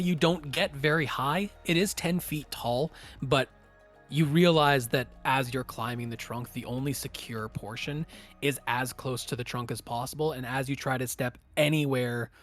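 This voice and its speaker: A man's voice: medium pitch, fairly even intonation, moderate pace. Man running a tabletop game, music behind. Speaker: game master of a tabletop campaign. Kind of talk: tabletop game narration and casual rulings.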